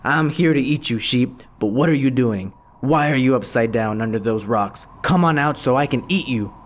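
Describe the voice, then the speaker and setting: A man's voice: medium pitch, moderate pace, close to an everyday voice. A man voicing a hungry, vain and gullible wolf villain in a children's puppet show, blustering and theatrical. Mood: menacing.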